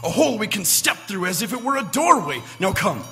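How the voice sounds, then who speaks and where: An actor playing an eager, obsessed scientist, excitable and declamatory, in an old-time radio drama. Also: male voice, sits high, fairly quick, full character voice. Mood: proud, excited. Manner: excitable, declamatory, self-assured. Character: eager, obsessed scientist showing off his invention. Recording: reverberant stage hall, faint music.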